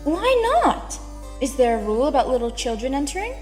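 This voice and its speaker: A woman's voice: sits high, big pitch swings, a little fast, a little mellow. A woman voicing a polite, protective mother unicorn, earnest and firm, in a cartoon fan drama. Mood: pleading. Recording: long reverb with music behind.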